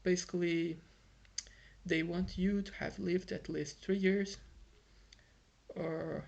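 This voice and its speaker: A man's voice: sits high, steady tone, slow pace, gravelly, darkish tone, dull audio. A young Brazilian man whose first language is Portuguese, speaking English with a Brazilian accent in a frustrated, rambling vlog full of fillers and restarts. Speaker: vlogger. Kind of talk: casual vlog monologue. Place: home in Ireland.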